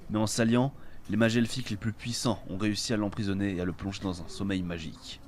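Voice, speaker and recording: A man's voice: lowish voice, fairly even intonation, fast, natural voice. A man playing a bold, self-assured adventurer in a fantasy audio drama, speaking assertively. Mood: grave storytelling. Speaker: voice actor in an amateur audio fiction. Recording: clear background noise and ambience.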